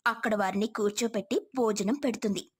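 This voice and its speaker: A woman's voice: on the high side, a little fast, husky. A woman telling a scripted moral story as its narrator.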